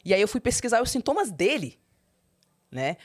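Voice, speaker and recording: A young adult woman, a guest in an interview, candidly telling her personal story and breaking into high, animated quotes. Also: normal speed. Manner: expressive, swings into high animated quoting.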